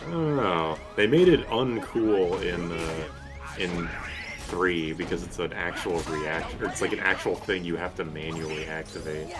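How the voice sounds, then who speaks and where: A man with a very low voice chats through a video game in a casual, rambling way. He swears freely and plays the streamer. Game music runs clearly behind him. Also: measured pace. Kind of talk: casual gameplay commentary chat.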